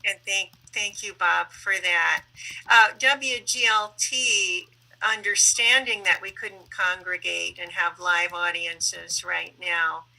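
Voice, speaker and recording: A woman hosting an online panel in a conversational, deliberate way, with some halting phrasing. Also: fairly low pitch, steady tone, vocal fry, sharp tone, low-quality recording. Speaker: host and moderator of a public online panel.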